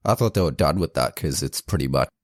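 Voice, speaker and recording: A man's voice: extremely low pitch, animated, quick pace, dry recording, clean studio sound. A man co-hosting a podcast, chatting easily about movies with some hesitant restarts. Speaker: podcast co-host. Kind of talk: casual movie review chat.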